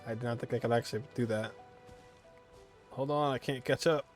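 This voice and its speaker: Man, gamer, casual reaction commentary, medium pitch, light background music. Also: fairly even intonation, a little fast. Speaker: gamer playing on stream or video.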